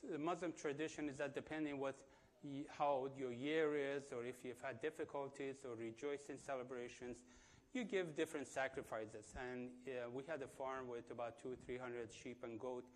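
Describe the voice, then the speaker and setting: A man in his fifties with an Iranian accent tells his story at a church, halting, with many fillers and restarts. His pitch sits at a middling height. A faint hum of room ambience stays behind him. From Iran. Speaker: guest speaker giving testimony. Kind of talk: church testimony.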